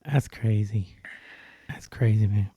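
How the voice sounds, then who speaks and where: A man's voice: fairly low pitch, takes it slowly, dark tone, breathy edge, no echo. A man hosting a podcast, chatting casually and easygoingly with many fillers. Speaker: podcast host. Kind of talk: casual chat.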